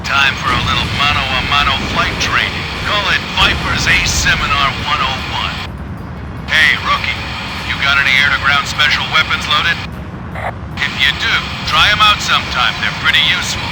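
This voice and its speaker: A man's voice: fairly high pitch, moderate pace, very noisy, close to an everyday voice. A man playing a cocky, easygoing veteran mercenary pilot who chats casually over the radio in a combat game. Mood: cocky, relaxed. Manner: casual, folksy, swaggering. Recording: loud music over combat radio chatter.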